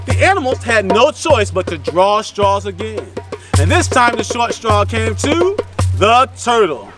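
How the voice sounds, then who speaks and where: A man's voice: sits high, big pitch swings, a little slow. A man telling a children's story in a dramatic, sing-song way, repeating words for effect. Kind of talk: animated story narration. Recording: off-mic, loud music underneath.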